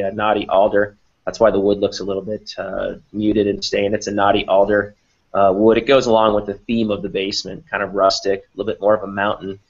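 A middle-aged man explaining things as an interview guest on a video call from an office. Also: on the low side. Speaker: company president, interview guest. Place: small office room, indoors. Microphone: computer mic on a video call. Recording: dull, lo-fi video call audio.

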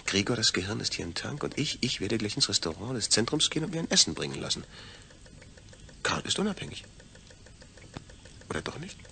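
An actor playing a pensive astronaut in a deliberate, theatrical audio drama soliloquy. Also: male voice, on the low side, big pitch swings, gravelly, sharp tone, a little hiss or hum, heavily acted. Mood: searching, puzzled. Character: pensive astronaut man puzzling over his own detached brain. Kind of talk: German audio drama monologue.

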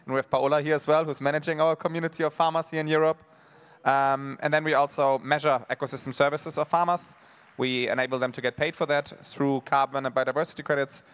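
A man's voice: a little high, little pitch movement, fast, fairly bright tone, clear, resonant tone. A man on a conference panel speaking in a matter-of-fact way about his work. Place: large conference stage.

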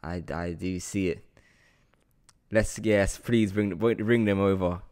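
Male podcast host, twenties, fairly low pitch, chatty and full of praise. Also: steady tone, slow pace, a little mellow. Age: 20s. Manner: effusive, informal, repeats phrases for emphasis. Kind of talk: casual podcast chat. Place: room indoors with large window. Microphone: podcast microphone on boom arm, close.

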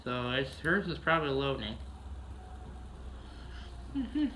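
A man's voice: mid-range voice, sing-song, takes it slowly, bright, forceful tone, slight background noise, low-quality recording. A man casually narrating a farming video game, humming "mm-hmm" between steps, recorded on a camera across a room. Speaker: gameplay narrator.

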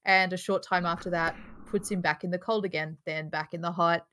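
A woman's voice: fairly low pitch, fairly even intonation, brisk, a bit bright, slight background noise. A woman reading stage directions as the narrator of a live online table read. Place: home room, online video call.

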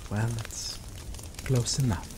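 A young man voicing an obsessive, possessive male lover in an ASMR roleplay, speaking slowly and softly with dark chuckles. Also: medium pitch, natural voice. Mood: obsessive, tender. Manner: slow, soft-spoken, dwelling on words with dark chuckles. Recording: steady rain noise behind voice. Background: rain falling.

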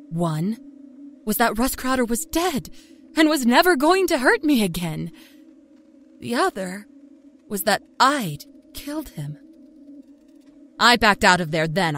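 A woman's voice: mid-range voice, a little hiss or hum, lightly acted. A woman playing a candid, wry narrator who recalls a grim childhood killing in a dramatic first-person horror story. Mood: tense.

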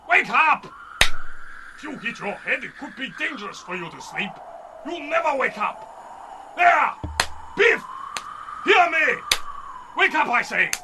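A man's voice: extremely high pitch, noisy background, exaggerated voice. A man playing a boisterous, proud winged falcon-man leader in a grandiose, declamatory old-time radio serial style. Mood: alarmed.

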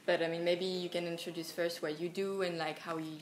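A woman's voice: on the low side, level tone, brisk. A woman hosting a talk, introducing her guest hesitantly with many fillers. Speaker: host, interviewer. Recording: echoey room with clear background noise.

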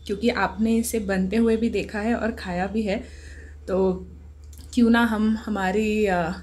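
A woman's voice: medium pitch, fairly even intonation, measured pace, darkish tone. A woman in her thirties hosting a home cooking show, chatting casually and asking guiding questions. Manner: encouraging, asks guiding questions. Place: home kitchen, indoors.

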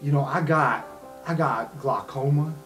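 A man plays a shifty goblin who works nights as a janitor in a tabletop fantasy game. He talks in a folksy, wheedling way at a slightly high pitch, dodging and hinting at menace. Soft music plays behind him. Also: measured pace. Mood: evasive, defensive.

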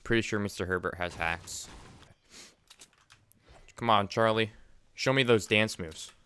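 Young man, excitable gaming streamer. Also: lowish voice, a little slow, clear, resonant tone. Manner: excitable, repeating himself. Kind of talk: casual gameplay chat. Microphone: desk mic, wearing headset.

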